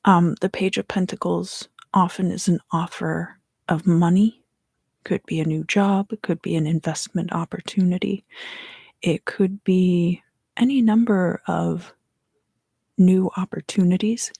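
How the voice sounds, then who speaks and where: A woman giving a soft-spoken, partly whispered ASMR tarot reading in a breathy, gentle, unhurried way, pausing between short phrases close to the microphone. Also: fairly low pitch, steady tone, dry recording. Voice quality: breathy, hushed. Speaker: tarot reader hosting an ASMR video.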